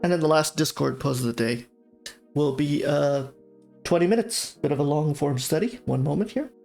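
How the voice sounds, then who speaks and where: A man hosting a casual livestream art class, talking informally and haltingly, with a slightly sore throat from a cold. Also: a little high, vocal fry, a little mellow, faint music behind.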